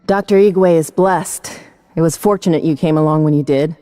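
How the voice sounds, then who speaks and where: Actor doing a solemn station crew member, soft dark voice, very dry sound. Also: mid-range voice, moderate pace, no echo, close to an everyday voice. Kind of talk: video game drama acting.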